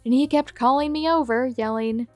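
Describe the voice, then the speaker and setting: Higher-voiced woman reading fan confessions. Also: moderate pace, a little mellow, no room sound, faint music behind. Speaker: host reading viewer submissions.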